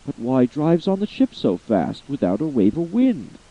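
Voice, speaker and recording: A man's voice: mid-range voice, big pitch swings, normal speed, warm tone, dull audio, voice pushed up. A man voicing a male air spirit who presses with questions, in a poetry reading. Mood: pressing. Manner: probing, insistent questions.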